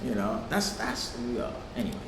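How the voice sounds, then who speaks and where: A man's voice: on the low side, very animated, moderate pace. Laid-back Haitian-born man in an echoey room. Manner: loose, swearing, laughs easily, lots of fillers. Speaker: interviewed music producer. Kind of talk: casual interview chat. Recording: echoey room with long reverb and clear background noise.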